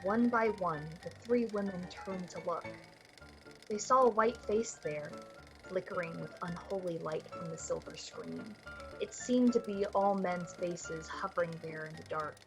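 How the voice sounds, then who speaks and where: A woman with a breathy voice delivering scripted, dramatic narration for a suspense radio play. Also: lowish voice, measured pace, over music, rough recording. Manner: dramatic, literary storytelling.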